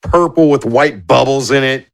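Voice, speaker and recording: A man's voice: medium pitch, normal speed, creaky. A man narrating a tabletop game as game master, improvising descriptions in an informal way.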